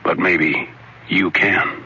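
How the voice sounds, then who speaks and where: Man reading a radio PSA, deep, earnest, direct. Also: fairly even intonation, slow pace, bright, forceful tone, breathy edge. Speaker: public service announcer. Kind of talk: scripted announcement. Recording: dull old radio broadcast.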